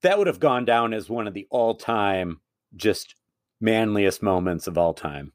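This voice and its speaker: A man's voice: lowish voice, lively intonation, measured pace, no room sound, clean studio sound. A man co-hosting a casual podcast, teasing his guest and joking through the banter. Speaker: podcast co-host.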